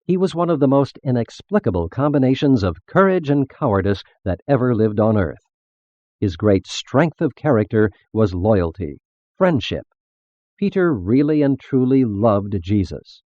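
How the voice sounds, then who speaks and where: A man reading a religious text as scripted narration, in a measured, formal, expository manner. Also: mid-range voice, very animated, dark tone, no echo, highs cut off.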